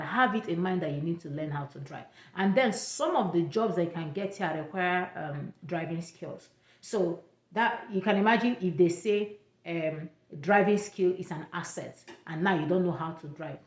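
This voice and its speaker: A woman's voice: on the low side, expressive, normal speed, reverberant. A young woman from Nigeria with an Igbo accent, giving chatty, repetitive travel advice in a casual vlog. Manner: chatty, repeats points, pidgin touches.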